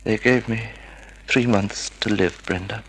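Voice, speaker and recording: An actor playing a wounded, frail semi-invalid husband in an old-time radio drama, halting and pleading. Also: male voice, fairly low pitch, steady tone, fairly bright tone, slightly rough. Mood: grave, halting. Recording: noisy vintage radio recording.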